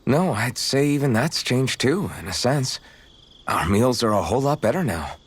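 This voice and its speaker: A man playing an earnest young swordsman in a video game, gentle in manner and awkward when flustered. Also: mid-range voice, animated, moderate pace, slightly rough. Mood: warm, sincere. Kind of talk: video game cutscene acting.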